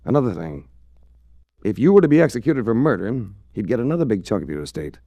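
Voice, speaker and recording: An actor playing a terse, controlled hard-boiled detective in a 1940s radio drama. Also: male voice, on the low side, sing-song, fast, mellow, no room sound. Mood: grave, probing. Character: cool, hard-boiled male detective hero. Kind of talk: 1940s radio detective drama acting with first-person narration.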